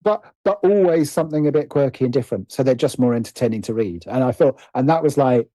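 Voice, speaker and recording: Mellow British man, thinking aloud. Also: medium pitch, expressive, fast, dry recording, low-quality recording. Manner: hesitant, self-interrupting, thinking aloud. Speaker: interview guest, writer. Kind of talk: conversational personal storytelling.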